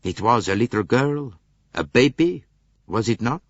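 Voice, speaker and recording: A man doing a gushing Italian salesman, loud. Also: medium pitch, lively intonation, a little slow, no room sound, voice pushed up. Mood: expansive. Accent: slight Italian. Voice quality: gushing, expansive. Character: beaming, voluble, good-natured Italian male salesman. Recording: dull lo-fi tape.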